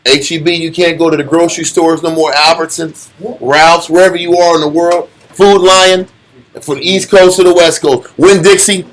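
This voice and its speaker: High-pitched American man, taunting preacher. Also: steady tone, normal speed, poor audio. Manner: colloquial, taunting, rhetorical questions. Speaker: religious teacher. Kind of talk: informal sermon discussion.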